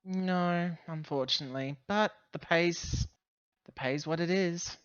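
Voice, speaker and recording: A man playing a roleplaying game, talking casually in character with halting, self-correcting explanations. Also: a little high, fairly bright tone, clear, resonant tone, dry recording, muffled recording. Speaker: tabletop roleplaying player.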